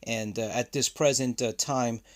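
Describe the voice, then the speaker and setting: A man hosting a ham radio channel, explaining in a casual, halting way with many filler sounds. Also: medium pitch, steady tone.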